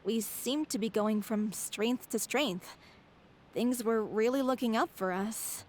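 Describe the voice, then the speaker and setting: A woman's voice: fairly high pitch, takes it slowly, darkish tone, slight background noise. A woman voicing a devoted, wistful young female theater troupe member in earnest video game dialogue. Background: faint music.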